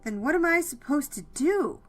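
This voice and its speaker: A woman's voice: high voice, animated, normal speed, soft background music, voice pushed up. A woman voicing a guarded, exasperated young female executive with a curt, skeptical manner in a dramatized audiobook. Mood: exasperated, demanding. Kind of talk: dramatized audiobook character dialogue.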